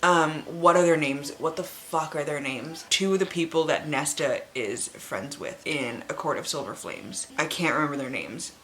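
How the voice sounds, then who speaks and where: Woman, late 20s, low register. Casual book vlogger, candid, self-deprecating, loosely rambling. Faintly noisy home recording. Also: moderate pace, few pauses, a bit bright. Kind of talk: casual, chatty book vlog.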